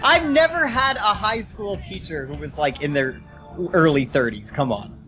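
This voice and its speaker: A young Canadian woman hosting a casual podcast chat in a slangy, excitable, teasing way. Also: deep voice, normal speed. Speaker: podcast host. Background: music behind the voice.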